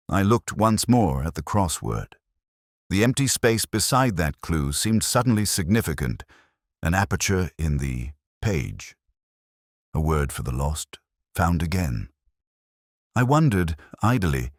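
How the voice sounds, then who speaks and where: A man performs a weary older detective telling his story in an audio drama. The voice sits extremely low and is recorded very dry and close, with no room around it. The delivery is measured, brooding and literary, full of quiet melancholy. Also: no echo, heavily acted. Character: weary, melancholy older male Oxford police detective narrating. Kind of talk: literary first-person audio drama narration.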